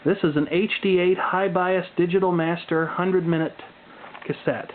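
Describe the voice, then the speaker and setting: A man with a slightly high voice talks off the cuff as he presents things to camera. His delivery is wry and folksy, with dry asides. The sound is narrow and phone-like, with some faint noise behind him. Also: fairly even intonation, moderate pace. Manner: wry, dry humor, folksy turns of phrase. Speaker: hobbyist video presenter. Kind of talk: unscripted casual show-and-tell commentary. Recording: muffled phone-like audio with background noise.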